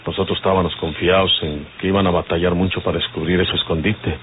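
Deep male Mexican outlaw, played by an actor, wary fugitive in a radio western, tinny noisy old recording. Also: steady tone, fast, natural voice. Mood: tense, explaining.

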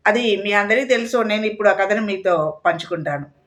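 A woman's voice: medium pitch, brisk, fairly bright tone. A woman storyteller telling a moral tale expressively, speaking directly to her listeners.

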